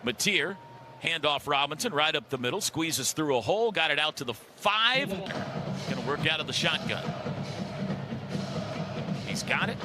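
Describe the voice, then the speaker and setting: High-voiced American football radio announcer, a man. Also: lively intonation, measured pace, pauses often. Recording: radio broadcast under loud music. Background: loud music.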